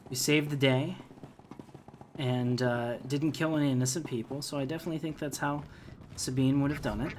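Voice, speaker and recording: A man's voice: mid-range voice, fairly even intonation, normal speed. A man hosting a gaming video with casual, conversational playthrough commentary. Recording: clear noise behind the voice.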